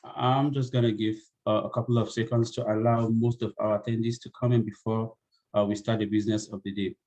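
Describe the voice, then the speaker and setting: Polite halting man hosting a webinar. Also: on the low side, little pitch movement, darkish tone. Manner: polite, apologetic, halting with many fillers. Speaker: training coordinator and webinar host. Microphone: video call.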